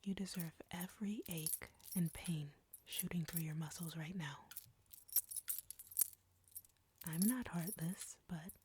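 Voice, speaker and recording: A woman playing an arrogant, flirty vampire captor in an intimate audio roleplay, breathy and whispery, taunting and commanding. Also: on the low side, measured pace, dark tone, a little hiss or hum, lightly acted. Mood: taunting, domineering.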